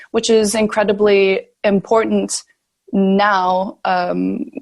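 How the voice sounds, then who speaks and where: Female, young adult, academic podcast guest. Mid-range voice, conversational, thoughtful, hesitant delivery. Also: mid-range voice. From Turkey, grew up in the Balkans. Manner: thoughtful, hesitant, searching for words. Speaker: podcast guest, sociology researcher. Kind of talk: conversational interview answers.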